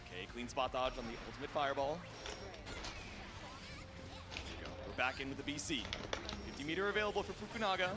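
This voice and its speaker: A man's voice: mid-range voice, fast, long pauses. A man giving live fighting game tournament commentary. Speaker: esports commentator. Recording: music behind the voice.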